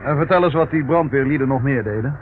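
An actor playing an eager, efficient male police officer in a radio play, reporting briskly to his superiors. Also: mid-range voice, lightly acted. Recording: narrowband old radio recording, clear noise.

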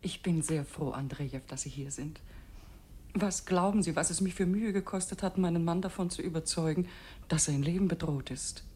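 Low, soft-toned anxious genteel wife. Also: female voice, moderate pace, husky. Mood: relieved, confiding. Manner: refined, confiding, earnest. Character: anxious, devoted upper-class governor's wife, confiding. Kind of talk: German radio play acting.

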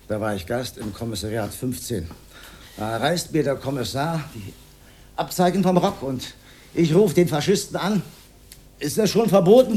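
Actor as declamatory old Austrian idealist, slightly noisy recording. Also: male voice, medium pitch, a little mellow, close to an everyday voice. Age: older. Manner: rhetorical, declamatory, given to rambling reminiscence. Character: old idealistic socialist freethinker, pedantic, teetotal, rambling man. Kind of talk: radio drama acting, theatrical monologue.

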